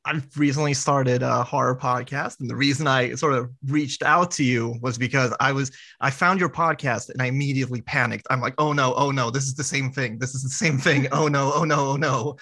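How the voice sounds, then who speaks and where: A man's voice: medium pitch, steady tone, sharp tone. A man from Sweden, a guest on a podcast, talking casually with lots of fillers and restarts. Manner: halting, with many restarts and fillers.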